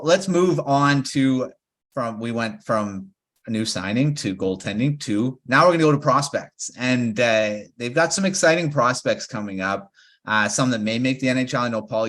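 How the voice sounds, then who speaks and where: A man hosting a casual podcast roundtable, relaxed and quick to chuckle. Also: medium pitch. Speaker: podcast host.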